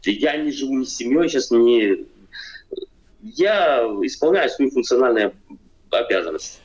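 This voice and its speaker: A man's voice: mid-range voice, expressive, moderate pace. A man in his forties from Ukraine speaks over a video call from an armchair at home. He talks hesitantly, with many fillers, restarts and repeated phrases. The audio is dull and lo-fi, like a cheap webcam. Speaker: video chat guest. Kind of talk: casual debate over video chat. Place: home room, seated in armchair.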